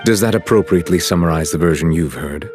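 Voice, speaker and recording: An actor playing a solemn, courteous chief justice in a video game, speaking in formal, measured, stately phrases. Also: male voice, low voice, over music, lightly acted. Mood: formal, composed. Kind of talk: scripted game cutscene dialogue.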